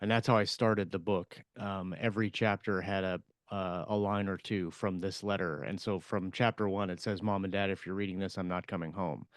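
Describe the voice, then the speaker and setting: A man with a low, smooth voice chats about his own writing on a podcast. He halts often and restarts his sentences as he thinks. The sound is dry and close. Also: steady tone, no echo. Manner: halting, many fillers and restarts. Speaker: podcast co-host, author. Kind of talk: casual chat.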